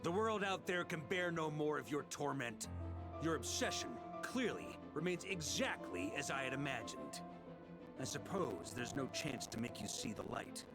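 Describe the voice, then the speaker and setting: An actor playing a weary but defiant veteran knight in a video game, with a wry, dramatic delivery. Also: male voice, on the high side, big pitch swings, normal speed, over music. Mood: defiant, resolute.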